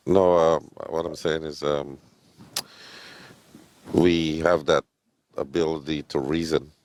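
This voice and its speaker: A man calling into a live-stream debate, answering haltingly with many pauses and fillers. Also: extremely low pitch, little pitch movement, darkish tone, no room sound. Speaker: caller.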